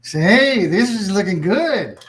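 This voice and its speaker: A man's voice: high voice, lively intonation, slow, a bit bright, heavily acted. A man playing a genial, folksy, easily amused small-town official in a table read of a comedy series.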